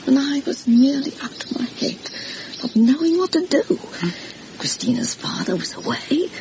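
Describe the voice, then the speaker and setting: A woman playing an anxious, gossipy older housekeeper in a vintage radio horror drama, confiding and deferential as she tells her story dramatically. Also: a little high. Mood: haunted, distressed. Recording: noisy vintage radio recording.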